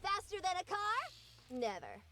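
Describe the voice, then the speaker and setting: A confident young game heroine, with an extremely high, wildly swooping voice. She sounds breezy and self-assured, with music playing faintly behind. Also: wildly swooping pitch, moderate pace, sharp tone, full character voice. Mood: breezy confidence.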